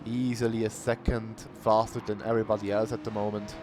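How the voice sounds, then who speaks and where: A man commentating an online sim racing qualifying session in a plain, unhurried way. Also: on the low side, music in the background. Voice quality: calls himself monotonous. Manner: unhurried, plain-spoken, bursts of cheering. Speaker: race commentator. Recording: noisy stream audio.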